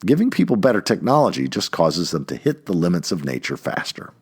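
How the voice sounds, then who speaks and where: A man reading an essay aloud in an emphatic way, stressing key words, with a husky voice. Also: low voice, sing-song, brisk, a little mellow. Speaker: reader of an essay. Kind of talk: read-aloud essay narration.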